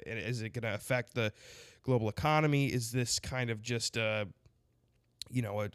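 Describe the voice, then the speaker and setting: Close on a dry, dead podcast mic, a man with a cold hosts his show in a rambling, sarcastic way. He keeps circling back with fillers and restarts, his pitch sitting at a middling level. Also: no echo. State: has a cold with a sinus infection. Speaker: podcast host. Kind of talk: casual podcast interview.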